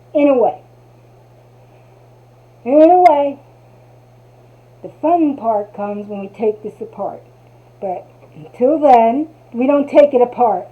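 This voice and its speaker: A woman from New Jersey with a Jersey accent, casually demonstrating a craft and chatting to herself as she works. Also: fairly high pitch. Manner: chatty, talks to herself while working. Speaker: craft tutorial host. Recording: tinny, phone-like audio.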